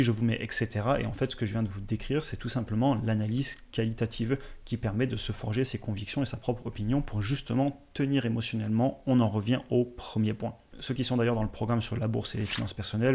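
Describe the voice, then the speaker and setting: A man in his thirties hosting a finance channel, explaining investing to viewers in a steady, direct way, recorded on a close studio mic in a small room. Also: on the low side, gravelly. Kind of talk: educational commentary over slides. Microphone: studio mic on a boom in front.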